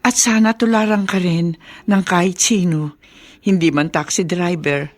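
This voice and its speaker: A woman's voice: lowish voice, fairly even intonation, unhurried. A female actor playing a gracious, grateful visitor in a radio drama, polite and earnest. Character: gracious, grateful adult gift-bearing visitor praising goodness.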